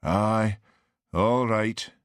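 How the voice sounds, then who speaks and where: A man voicing a miserable, flu-ridden Highland Scottish policeman in an audiobook, stiff, self-pitying and sibilant. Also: extremely slow, no room sound, studio quality. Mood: bleak.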